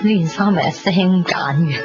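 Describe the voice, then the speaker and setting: A woman's voice: on the low side, moderate pace, natural voice. Lady playing a bubbly vain hk girl, chatty n dramatic, muffled old radio drama w music under it. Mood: chatty, eager. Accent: Hong Kong. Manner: chatty, dramatic, many exclamations and particles. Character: bubbly, vain young woman fretting over party outfit. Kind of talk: 1990s radio drama acting.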